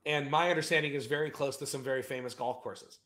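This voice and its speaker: A man's voice: medium pitch, quick pace, reverberant. A man hosting a casual video interview, talking in an upbeat, chatty way. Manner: upbeat, chatty, energetic opener. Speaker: host.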